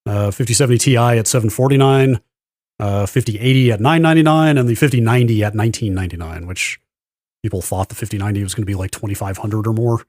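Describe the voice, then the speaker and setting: Male podcast host, lowish voice, close dry sound, casual explainer who qualifies as he goes. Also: fast, a little mellow, no room sound. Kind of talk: casual tech news discussion.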